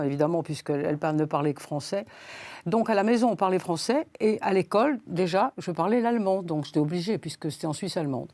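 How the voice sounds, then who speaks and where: Older lady in her 80s rambling on a talk show, lots of uh and restarts, mellow low-ish voice, dry sound. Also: no echo. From Switzerland. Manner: rambling, many hesitations and repeated words. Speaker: guest. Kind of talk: interview. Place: studio set.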